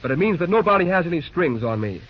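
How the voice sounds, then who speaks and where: A man's voice: fairly high pitch, very animated, quick pace. An actor playing a brash, determined young American railroad man in a breezy, wisecracking old radio drama style. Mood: defiant. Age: young adult. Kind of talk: 1940s radio acting. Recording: muffled vintage radio recording.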